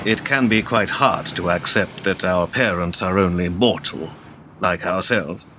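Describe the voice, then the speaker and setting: A low, sharp-edged male voice over faint noise, an ageing political fixer in a radio play. He is measured and worldly, a smooth talker with a cynical view of how things work. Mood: grave, persuasive. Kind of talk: radio drama acting.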